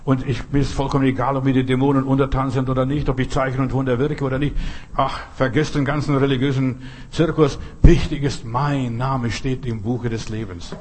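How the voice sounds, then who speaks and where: A man's voice: medium pitch, fairly even intonation, brisk, darkish tone. A man giving a charismatic sermon, stressing and repeating short phrases. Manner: emphatic, repeats phrases, cries hallelujah. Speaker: preacher.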